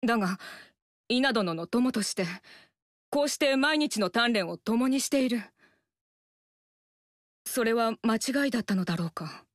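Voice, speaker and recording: A woman's voice: on the high side, lively intonation, measured pace, pauses often, no room sound, studio quality. An actress voicing a stern, earnest young woman warrior in a video game, speaking in a formal, stiff manner. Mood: flustered earnest. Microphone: studio mic, dry.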